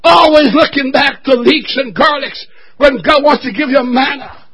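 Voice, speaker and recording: A man preaching an impassioned sermon in church, shouting with his voice breaking from emotion. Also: very high voice, normal speed. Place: church service.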